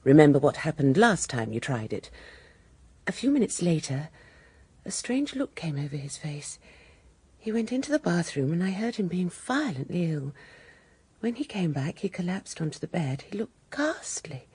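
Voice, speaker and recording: An actress playing a poised, alluring widow in a radio drama, intimate, well-mannered and emotive. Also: female voice, fairly low pitch, big pitch swings, moderate pace, a little mellow, husky, no echo, full character voice. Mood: distressed, hushed. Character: poised, alluring widow suspected of poisoning her husband.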